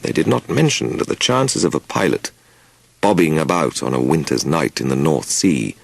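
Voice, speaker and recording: Very deep male narrator, gravelly. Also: lively intonation, normal speed, dry recording. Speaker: audiobook narrator. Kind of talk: scripted dramatic first-person story narration.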